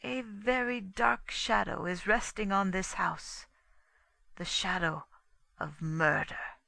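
A commanding male detective from a storybook, acted out by a woman, speaking in slow, deliberate pronouncements. The delivery is theatrical and pointed, with a sharp, forceful edge and a formal air of menace. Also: medium pitch, steady tone, gravelly, no echo, heavily acted. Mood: solemn, grand. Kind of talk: dramatic audiobook character dialogue.

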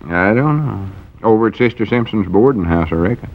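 A folksy, gullible country fellow from a vintage radio comedy. His low voice swings freely up and down as he earnestly explains things in a rural Southern American drawl. The sound is dull, old lo-fi broadcast audio. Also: lively intonation, a little fast. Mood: doubtful, regretful. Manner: drawling, folksy, rustic dialect. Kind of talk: 1940s radio comedy acting.

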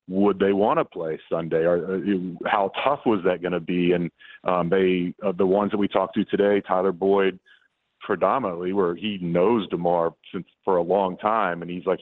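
A man calling in to a sports radio show as a reporting guest, telling stories in a conversational way. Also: sits low. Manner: conversational, storytelling with restarts. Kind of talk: casual call-in chat. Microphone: phone. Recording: tinny phone line.

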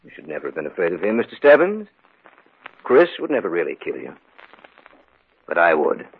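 A man's voice: deep voice, huge pitch swings, fairly quick, breathy edge, heavily acted. An American actor playing a cold-blooded, scheming murderer who narrates his crime in 1950s radio horror style. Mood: quietly menacing. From United States. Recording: muffled old radio recording, loud music.

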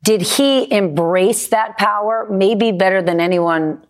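A woman's voice: medium pitch, slow pace. A woman hosting a TV news show, putting pointed, skeptical questions to her guest. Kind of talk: TV news interview.

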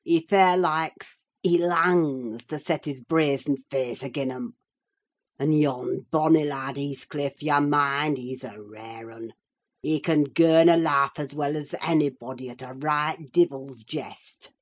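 Woman doing low-voiced Yorkshire rustic serving man. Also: takes it slowly. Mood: sardonic gossip. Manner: dialect-heavy, dry, droll. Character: gossiping, sardonic rustic male servant.

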